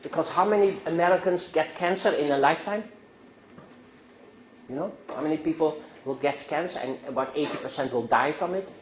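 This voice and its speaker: Older Dutch-accented man lecturing in echoey classroom. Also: fairly high pitch. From Netherlands. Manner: discursive, halting restarts, rhetorical questions to students. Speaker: guest lecturer. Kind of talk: university lecture. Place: university classroom. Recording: echoey classroom with faint noise.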